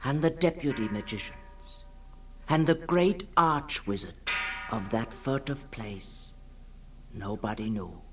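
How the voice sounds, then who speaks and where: A man reading a fantasy tale as scripted dramatic narration, solemn and portentous, with deliberate pauses. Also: mid-range voice, slight background noise. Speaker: story reader.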